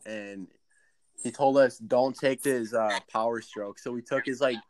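A man's voice: medium pitch, steady tone, normal speed. A young man telling stories and bantering casually, in a blunt, sweary, slangy way. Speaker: podcast co-host.

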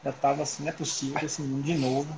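A man, chatting as a guest surgeon in a relaxed interview over a video call. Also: mid-range voice, racing, gravelly. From Salvador, Bahia, Brazil. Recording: noisy home video call audio.

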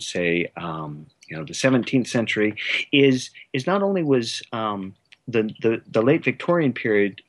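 A man with a fairly low voice talks as a guest in an interview. His delivery is conversational and hesitant, winding through hedged, roundabout phrases. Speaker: interview guest, writer and director.

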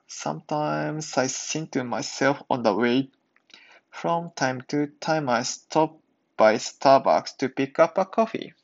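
A man speaks very slowly in a gravelly, creaky voice. He sounds like a careful, halting English learner doing reading practice for a podcast. The recording is dull and lo-fi. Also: medium pitch. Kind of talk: reading aloud practice and simple podcast talk.

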